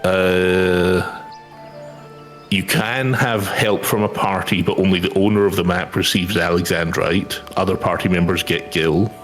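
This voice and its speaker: A man's voice: deep voice, fairly even intonation, a little slow, fairly bright tone, soft background music. A man casually explaining quest requirements to a fellow player, partly reading from a guide.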